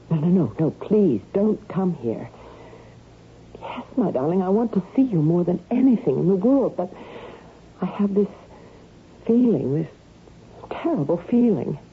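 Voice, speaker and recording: A dramatic, expressive anxious wife. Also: female voice, deep voice, heavily acted. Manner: dramatic, breaking off and repeating herself. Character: anxious, affectionate wife hiding an affair. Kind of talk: old-time radio drama acting. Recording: narrow-band, noisy old radio broadcast.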